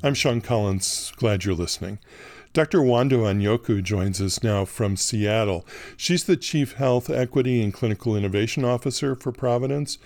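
Male podcast host, dry studio sound, deliberate delivery. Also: medium pitch, a little mellow, no echo. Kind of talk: scripted narration and measured interviewing.

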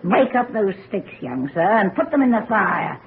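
A woman's voice: medium pitch, very animated, moderate pace, narrow, tinny audio, natural voice. Woman acting old herb woman telling fortunes, foreboding country talk, noisy old radio sound. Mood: folksy, inviting. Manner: rustic old-fashioned speech, foreboding. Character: old country herbalist fortune-teller woman, ominous, sharp-tongued.

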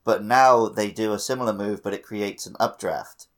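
A man's voice: fairly low pitch, steady tone, moderate pace. A man co-hosting a podcast, chatting casually about a video game. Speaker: podcast co-host.

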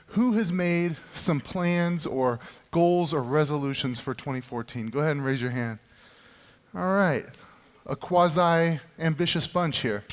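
Self-mocking man preaching in echoing hall. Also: a little high. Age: 30s. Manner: self-deprecating, humorous storytelling. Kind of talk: sermon. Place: church hall with long reverb. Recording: reverberant church hall.

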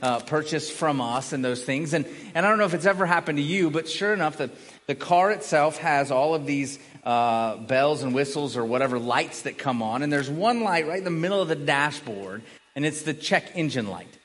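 A man's voice: on the high side, expressive, normal speed, a bit bright. A middle-aged man preaching a conversational sermon as a pastor, often repeating and restarting words as he earnestly explains. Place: large room, preaching to a congregation. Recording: long hall reverb.

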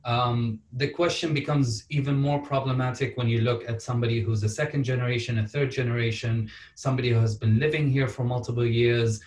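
A man's voice: medium pitch, distant mic. A man from Syria, a panelist answering questions earnestly with wry asides. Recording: roomy sound from off mic.

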